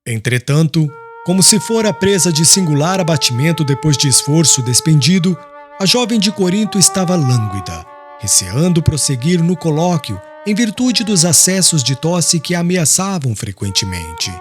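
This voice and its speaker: A man narrating a literary audiobook in a solemn, dramatic and expressive style. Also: on the high side, moderate pace. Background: music with woodwind.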